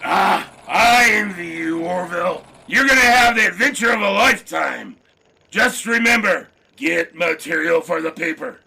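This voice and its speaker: Loud, excitable old-time newspaper boss. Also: male voice, moderate pace, creaky, husky, heavily acted. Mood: cheerfully bossy. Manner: booming, enthusiastic, careless with details. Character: blustery, excitable male newspaper boss, cheerfully scatterbrained. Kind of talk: period radio drama acting.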